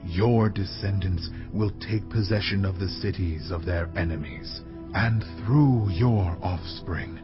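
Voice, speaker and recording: An actor playing a majestic, authoritative angel of the Lord in a dramatized audio Bible, declaiming slowly and solemnly. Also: male voice, low voice, muffled recording, over music.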